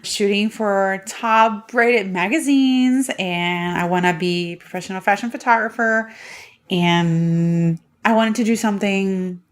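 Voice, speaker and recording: A woman's voice: mid-range voice, measured pace. A woman in her thirties from Puerto Rico, hosting a solo podcast and telling a personal story in a chatty way. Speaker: podcast host.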